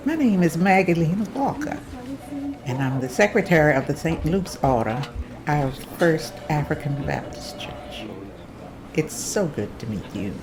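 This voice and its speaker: A woman's voice: deep voice, background noise, exaggerated voice. A woman reading the part of a polite, determined secretary of a church order at a script table read, in an earnest, formal manner.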